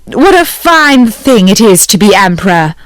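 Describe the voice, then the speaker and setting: A woman's voice: medium pitch, big pitch swings, measured pace, no room sound. A woman doing a meek, pleading old fisherman. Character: meek, timid old fisherman, the wife's husband.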